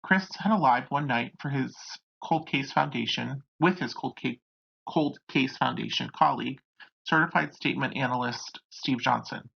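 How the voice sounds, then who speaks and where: Creaky, husky true crime narrator. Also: medium pitch, moderate pace. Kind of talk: scripted narration. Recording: dull, lo-fi home recording.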